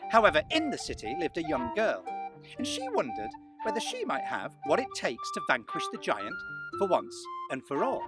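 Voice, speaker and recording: A man narrating a folktale as a storyteller, theatrical and emphatic, with suspenseful pauses. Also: a little high, wildly swooping pitch, loud music behind the voice, low-quality recording.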